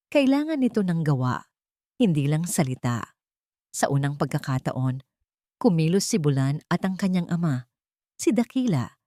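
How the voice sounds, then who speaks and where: A woman's voice: sits low, warm tone, dry recording, studio quality. A woman with a Filipino accent reading a scripted, dramatic love story as narrator.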